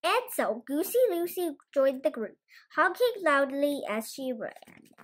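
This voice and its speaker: A young girl reading a children's bedtime story in an expressive storytelling manner. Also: high-pitched, a little slow.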